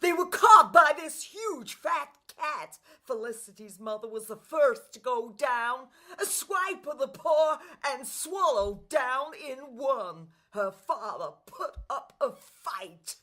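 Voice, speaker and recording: An excitable, boastful mouse from a children's radio play. The voice is high and bright, swooping about with animated, tactless relish. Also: female voice, slow, hardly any pauses, heavily acted. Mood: gleeful, dramatic. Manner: animated, dramatic.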